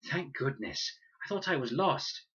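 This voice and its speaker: A man's voice: mid-range voice, sing-song, a little slow, bright, forceful tone, husky, dull audio, voice pushed up, exaggerated voice. A man voicing a caring, honest young girl, an earnest and protective big sister in a storybook. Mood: relieved, earnest.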